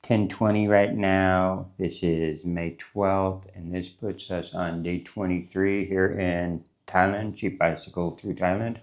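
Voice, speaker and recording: A man's voice: deep voice, steady tone, slow pace, few pauses. A man in his forties from the United States, congested from a cold, rambling casually through a morning travel vlog with many fillers and restarts, recorded on a lapel mic. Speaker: travel vlogger. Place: small courtyard room with a concrete wall, indoors. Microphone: clip-on lapel mic.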